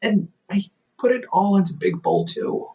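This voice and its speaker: A man's voice: sits high, normal speed, dark tone, recorded from a distance, highs cut off, close to an everyday voice. A man voicing a caring, goofy older brother in an audio roleplay, playful and chatty, laughing often.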